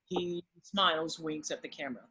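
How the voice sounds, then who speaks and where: Husky, slightly high-pitched male script narrator. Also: normal speed, bright, forceful tone, low-quality recording. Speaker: narrator reading stage directions. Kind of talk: scripted narration at a table read.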